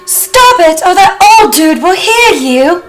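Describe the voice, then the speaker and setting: A man putting on a shrill falsetto to play a frightened young woman in a comic podcast sketch, hammed up and over the top. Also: sing-song, slow, music in the background, exaggerated voice. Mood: panicked. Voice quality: high falsetto. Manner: mock-dramatic, over the top.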